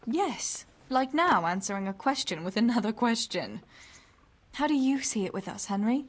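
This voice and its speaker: A woman's voice: a little high, sing-song, normal speed. An actress playing a spirited young medieval village woman who teases and banters affectionately in a video game. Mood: teasing. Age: young adult. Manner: teasing, bantering. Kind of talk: naturalistic video game dialogue acting.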